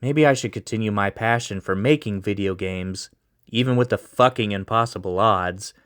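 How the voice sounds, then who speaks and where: A man's voice: lowish voice, moderate pace, fairly bright tone, clean tone, close to an everyday voice. A man voicing a bitter, struggling young man who is exasperated and snappy, in a storybook reading. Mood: brooding.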